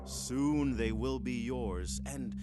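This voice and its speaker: An actor playing a commanding, smooth-talking mission leader with a secret scheme, authoritative and theatrical in a sci-fi audio drama. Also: male voice, medium pitch, takes it slowly, over loud music, lightly acted. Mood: secretive.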